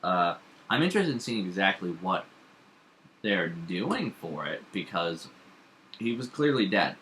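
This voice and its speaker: A man's voice: sits low, very animated, measured pace, a bit bright, slight background noise. A young man co-hosting a casual chat about comics and TV, opinionated and hesitant, with frequent fillers. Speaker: podcast co-host.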